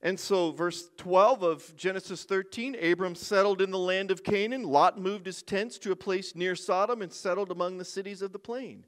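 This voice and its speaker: A man's voice: sits high, moderate pace, few pauses. An older man preaching a sermon from a church stage lectern, conversational, with joking asides. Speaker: pastor.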